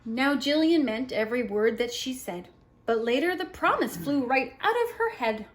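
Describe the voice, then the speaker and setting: Lady doing story time for little kids, high lively voice that swings around a lot, expressive. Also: moderate pace. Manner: expressive, talking to a small child. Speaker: storyteller reading a picture book to children. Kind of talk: read-aloud story time with asides to a young child.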